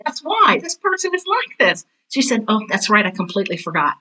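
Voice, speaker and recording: A woman's voice: fairly high pitch, sing-song, brisk, sharp tone. An older woman talking casually as an interview guest, with a direct, intense and emphatic way of repeating phrases. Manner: self-described intense, direct. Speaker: guest, theater teacher and author.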